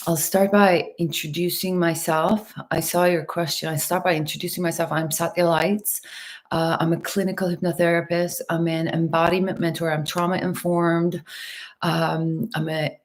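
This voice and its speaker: A woman from Turkey and Los Angeles hosting a casual livestream, talking to viewers in a gentle, unhurried, spiritual wellness manner. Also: lowish voice, little pitch movement. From Turkey and Los Angeles, USA. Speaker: host, hypnotherapist and mentor.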